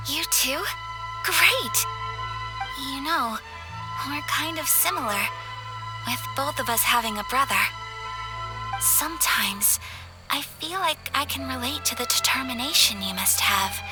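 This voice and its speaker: Gentle polite young noble lady, high sweet voice, soft and sincere, game music under her. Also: unhurried, long pauses. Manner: soft-spoken, formal and sincere. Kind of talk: game cutscene voice acting.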